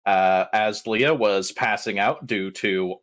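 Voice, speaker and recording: A man's voice: on the low side, takes it slowly, a bit bright. A man playing in a tabletop game, casually improvising a recap with irreverent, profane jokes. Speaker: tabletop RPG player giving a session recap.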